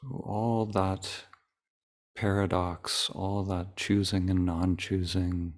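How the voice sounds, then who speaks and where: Very slow, low-voiced man guiding meditation. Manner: calm, deliberate, long pauses between short phrases. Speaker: meditation teacher leading a guided meditation. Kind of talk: guided meditation.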